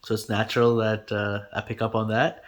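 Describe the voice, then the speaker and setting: A man's voice: fairly low pitch, flat delivery, a little fast. A man in his twenties, Gujarati native speaker from India, chatting casually in an interview with lots of fillers and easy laughter. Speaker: channel host being interviewed.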